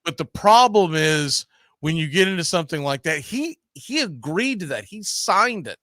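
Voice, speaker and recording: A man's voice: a little high, big pitch swings, moderate pace, fairly bright tone, no echo, clean studio sound. A man hosting a casual sports debate, speaking emphatically and repeating phrases to press his point. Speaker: sports talk host. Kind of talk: casual argumentative sports discussion.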